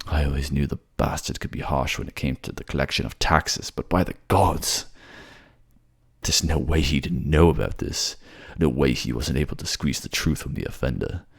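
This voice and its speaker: A man playing a gruff, weary, brooding young king in a fantasy audio drama, speaking tersely and gravely. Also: a bass voice, moderate pace, pitched below the performer's own voice, heavily acted. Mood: grim.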